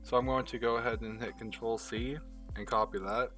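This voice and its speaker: A man's voice: mid-range voice, fairly even intonation, brisk, fairly bright tone. Distant-miked man giving a casual tutorial, music behind. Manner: informal, talks through each step as they do it. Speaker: tutorial host. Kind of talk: casual step-by-step software tutorial narration. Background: background music.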